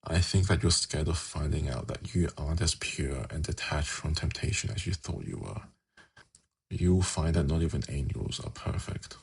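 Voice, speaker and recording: A man playing a seductive, ancient demon prince in an ASMR fantasy roleplay, coaxing and teasing in a slow, intimate manner with formal wording. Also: a bass voice, heavily acted. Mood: gently persuasive. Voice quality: gravelly, husky.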